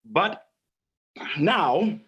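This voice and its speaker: A man's voice: sits high, sing-song, extremely slow, lo-fi sound. A man narrating and announcing a radio play from a script.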